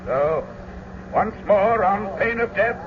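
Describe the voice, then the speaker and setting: Bossy stern prince, declaiming orders, highish voice, muffled old radio. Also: male voice, expressive, takes it slowly, background noise. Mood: stern command. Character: stern, commanding prince threatening brawlers. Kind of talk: classical radio drama acting.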